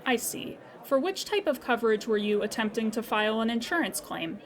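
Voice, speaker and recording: A woman playing a patient, courteous insurance customer service agent in a scripted audio comedy, speaking formally and with measured politeness. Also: fairly high pitch, expressive, close to an everyday voice. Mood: polite, businesslike. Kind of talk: scripted comedy audio drama phone call.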